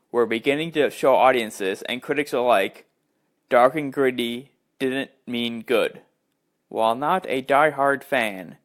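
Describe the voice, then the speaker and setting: A man's voice: medium pitch, a bit bright. A man hosting a podcast and reading a scripted review in the manner of a narrator. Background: music.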